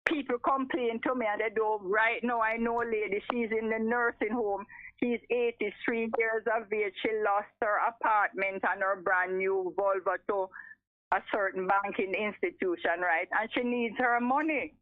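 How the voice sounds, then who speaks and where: A woman's voice: medium pitch, fairly even intonation, moderate pace, no room sound. A 77-year-old woman with a Jamaican accent and a strong voice, speaking emphatically and repeating phrases as an impassioned radio caller. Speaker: radio call-in listener. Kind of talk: impassioned live phone-in talk. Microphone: telephone. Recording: thin, narrow telephone line.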